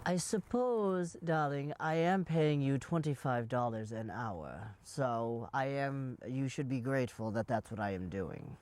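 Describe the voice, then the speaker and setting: A woman playing a haughty, demanding British gentleman in improvised comedy, pompous and carefully enunciated. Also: very deep voice, a little slow, few pauses, pitched below the performer's own voice. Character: haughty, demanding, helpless British gentleman employer, easily outraged. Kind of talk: improvised comedy role-play.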